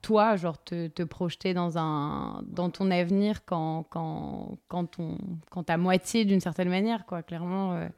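A woman's voice: fairly low pitch, a little slow, vocal fry, few pauses, a little mellow. A woman interviewing a guest, asking empathetic questions with thoughtful, searching phrasing.

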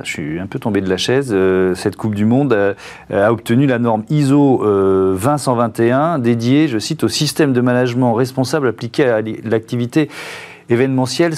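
A man's voice: medium pitch, darkish tone. A man hosting a broadcast in a conversational interviewing style. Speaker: TV show host and interviewer.

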